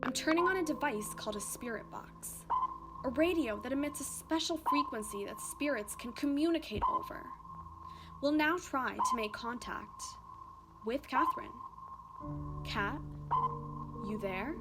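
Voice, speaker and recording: A woman's voice: sits high, expressive, a little slow, pauses often, loud music behind the voice. An actress playing a bossy, foul-mouthed woman who hosts a ghost-hunting show in a radio drama, with a dramatic delivery. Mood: dramatic, ominous. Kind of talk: radio drama acting as paranormal show presenter.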